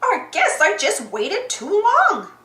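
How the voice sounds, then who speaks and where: A woman voicing a folksy, grateful female cartoon cow with drawn-out, gushing delivery. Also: very high voice, wildly swooping pitch, pushed and bright, roomy sound, voice pushed up, heavily acted. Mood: glum.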